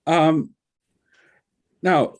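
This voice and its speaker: A man whose first language is Kabye, a librarian giving a slow, reflective talk over a video call, halting often with fillers. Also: fairly high pitch. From northern Togo. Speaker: librarian presenter. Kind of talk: virtual meeting talk.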